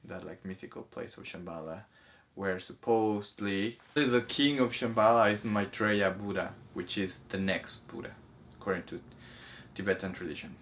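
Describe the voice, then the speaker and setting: A man's voice: on the low side, normal speed, a bit bright. A man co-hosting a casual movie discussion, explaining things in a hesitant, self-correcting way. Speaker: co-host of a spirituality review show.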